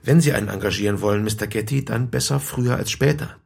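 An actor playing a calm police detective in a radio play, polite but insistent, with a gravelly, husky voice. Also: male voice, medium pitch, quick pace. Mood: patient, insistent. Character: calm, persistent male police detective questioning a householder.

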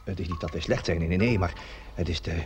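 An actor playing a solemn, argumentative village schoolmaster in a radio play, speaking haltingly. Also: male voice, sits low, music in the background, natural voice. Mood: solemn, contrite. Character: village schoolmaster confessing to his rival the priest. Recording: noisy old radio play recording.

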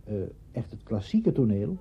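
Male interviewee, very husky, explaining in a scholarly way on a dull archival recording. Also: medium pitch, very animated, normal speed.

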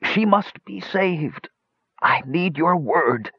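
A man playing a desperate, stubborn old wizard in an audiobook, speaking slowly and urgently in a strained, labored voice. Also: a little high, lively intonation, husky, no room sound, highs cut off, pitched above the performer's own voice, exaggerated voice. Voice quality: strained, strangled.

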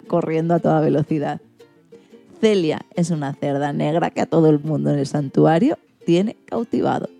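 A woman's voice: low voice, creaky, dark tone. A woman from hosting a radio show, reading a script with touches of light chat.

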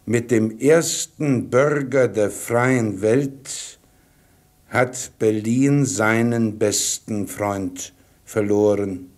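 A city mayor giving a grave, very slow and measured radio address to his citizens. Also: lowish voice, darkish tone.

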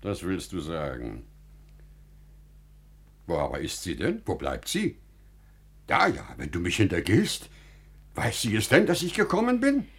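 Husky, impassioned wise merchant father. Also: low voice, huge pitch swings, a little slow, long pauses, heavily acted. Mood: tender, probing. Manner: theatrical, swinging from murmurs to outcries. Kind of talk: classical German radio drama acting.

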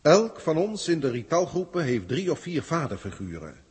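Husky, echoing old alien mentor. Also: male voice, fairly high pitch, sing-song, normal speed, darkish tone, exaggerated voice. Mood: troubled, remorseful. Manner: theatrical, formal archaic diction, brooding. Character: old emotional alien senior proctor, male teacher, wry. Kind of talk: 1960s radio drama acting. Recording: reverberant 1960s radio studio recording.

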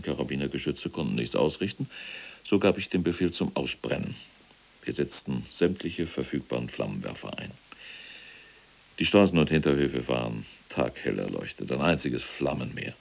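Jailed SS general for radio drama: extremely low, creaky voice, cold, boastful, clipped military reporting. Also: male voice, a little mellow, heavily acted. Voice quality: gravelly. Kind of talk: radio drama monologue, recounting events.

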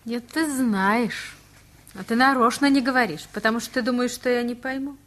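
An actress playing an adoring, timid, naive young wife with a wistful, earnest manner in a radio play. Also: female voice, on the high side, moderate pace, a bit bright, lightly acted. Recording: clearly noisy ambient background.

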